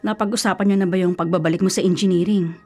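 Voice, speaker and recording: An actress playing a caring, protective middle-aged mother in a Filipino radio drama, gently chiding in a Filipino accent. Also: fairly low pitch, fairly even intonation, racing, soft background music. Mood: concerned, chiding. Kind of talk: Tagalog radio drama acting.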